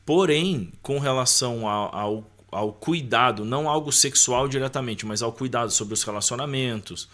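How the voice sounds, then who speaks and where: An adult man with a medium-pitched voice. He talks casually, like a podcast guest recounting his own life. He often acts out bits of remembered dialogue and drops in small tag questions. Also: normal speed, hardly any pauses, fairly bright tone. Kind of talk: casual personal storytelling.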